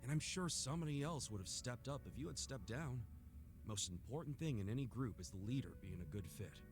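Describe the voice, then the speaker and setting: An actor playing a brash, good-hearted drinking buddy in a video game, blunt, earnest and easygoing. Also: male voice, mid-range voice, animated, fairly quick, soft background music, lightly acted. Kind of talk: English video game voice acting.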